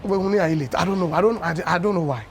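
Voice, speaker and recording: Ghanaian guy in his 50s telling old stories on a morning tv show, slightly high voice, unhurried. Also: a little hiss or hum. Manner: anecdotal storyteller, unhurried with many "you know"s. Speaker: guest, former dance champion. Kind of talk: conversational interview on breakfast TV. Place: television studio.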